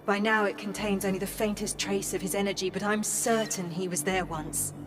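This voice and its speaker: An actress voicing a calm, polite female AI assistant with a formal, courteous manner in video game dialogue. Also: mid-range voice, brisk, natural voice. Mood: measured. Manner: formal, courteous, addresses him as sir. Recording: with game music behind.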